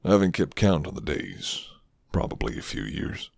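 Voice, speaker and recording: A rugged, gentle werewolf of the woods, acted by a man in an intimate ASMR roleplay. His voice sits very low and crackles with gravel, teasing and unhurried. It is recorded close and dry, with a hushed, breathy delivery. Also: big pitch swings, no room sound, heavily acted. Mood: pensive, quiet. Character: flirty, gentle rugged male werewolf living alone in forest.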